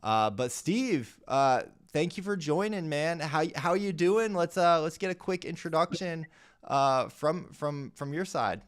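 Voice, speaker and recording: A man's voice: a little high, animated, a little slow, smooth. A man hosting a live show, chatting casually with his guests in an interview. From Boston, United States.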